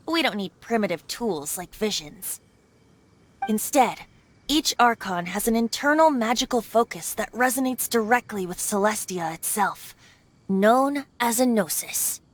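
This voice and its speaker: An actress voicing a playful, carefree young male bard who is secretly a god, with a lilting, teasing way of talking. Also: a little high. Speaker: voice actor in a game dub.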